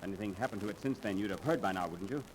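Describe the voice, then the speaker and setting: A man's voice: lowish voice, very fast, close to an everyday voice. An actor playing a courteous, somewhat anxious businessman, polite and hesitant, in a 1930s radio drama. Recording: noisy 1930s radio transcription.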